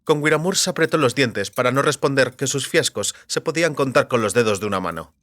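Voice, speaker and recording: A man reading scripted literary narration for an audiobook with measured, deliberate phrasing. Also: mid-range voice, animated, fairly bright tone, no room sound, studio quality. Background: faint music.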